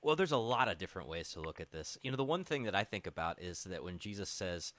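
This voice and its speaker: A man's voice: low-pitched, sing-song, quick pace, a bit bright, dry recording. A man hosting a conversational radio interview, explaining things in an informal, reflective way. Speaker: radio show host.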